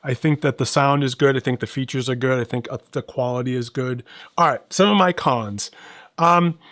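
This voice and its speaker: Casting: middle-aged male gadget reviewer, mid-pitched, conversational and explanatory, recorded dry. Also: moderate pace, fairly bright tone, no room sound. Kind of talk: casual conversational product review.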